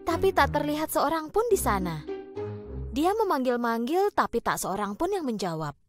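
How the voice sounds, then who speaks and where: A woman narrating a cartoon fairy tale in an expressive, storytelling manner over background music. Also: high-pitched, normal speed.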